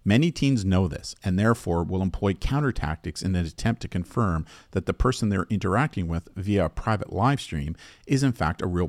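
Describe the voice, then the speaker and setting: A man with a low voice presents scripted educational material as a podcast host. His delivery is measured and explanatory, with very lively intonation, and the recording is dry and close. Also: dry recording.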